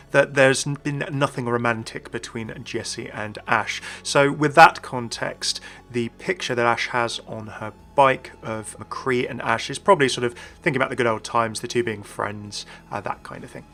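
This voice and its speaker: A man's voice: medium pitch, pushed and bright. A man presenting a chatty, inquisitive explainer as a gaming video host, scripted with casual asides. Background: faint background music.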